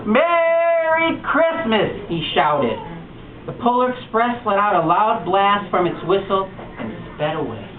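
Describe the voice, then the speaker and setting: Male storytime reader, lively expressive read-aloud, heard from a distance in an echoey room, tinny, noisy. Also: sits high, measured pace. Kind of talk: reading a picture book aloud to children. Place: bookstore storytime.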